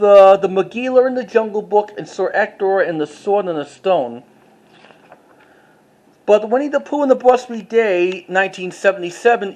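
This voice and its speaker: Halting collector doing a casual show-and-tell. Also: mid-range voice, a little mellow. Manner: halting, with repeats and self-corrections.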